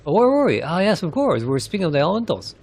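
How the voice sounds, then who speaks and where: A man's voice: high voice, big pitch swings, rapid-fire, darkish tone, voice pushed up, full character voice. A man voicing an absent-minded, rambling forest sage from a fantasy game, flustered and effusive.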